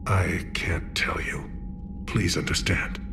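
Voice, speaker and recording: Formal, guarded alien commander, lowish voice, music behind. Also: male voice, flat delivery, vocal fry, exaggerated voice. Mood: measured. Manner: formal, measured and reserved. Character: dignified male alien military leader, measured and guarded. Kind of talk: video game voice acting.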